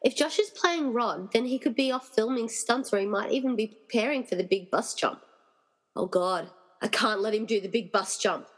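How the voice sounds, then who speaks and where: A woman's voice: a little high, moderate pace, lightly acted. A woman playing an earnest, determined young heroine who questions things, in an amateur radio play. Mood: puzzled, worried. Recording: reverberant, hall-like room sound.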